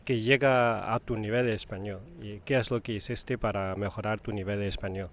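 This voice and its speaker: A man's voice: lowish voice, fairly even intonation, moderate pace, slight background noise. A man interviewing a guest in a casual conversation, asking friendly, probing questions with occasional hesitant fillers.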